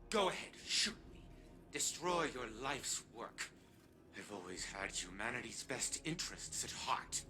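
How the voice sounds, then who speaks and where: A man's voice: medium pitch, big pitch swings, unhurried, pushed and bright, a little hiss or hum, full character voice. A man playing an arrogant old industrialist turned digital mind in a game, dismissive and pompous. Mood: dismissive, defiant. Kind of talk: dramatic game villain dialogue.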